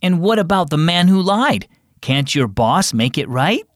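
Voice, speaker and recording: A man's voice: a little high, sing-song, a little slow, no room sound, studio quality. A man doing an upset young boy. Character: young boy, curious and quick to anger.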